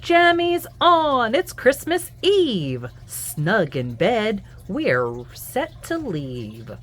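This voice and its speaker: A woman reading a children's picture book aloud in an expressive, singsong storytelling manner. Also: on the high side. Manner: animated, singsong, deliberate storytelling. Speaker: children's book reader and host.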